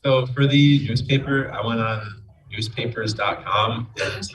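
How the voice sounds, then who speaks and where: A man's voice: mid-range voice, unhurried, a bit bright. A man explaining his work as a game programmer and writer in an interview. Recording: distant mic with crowd chatter behind.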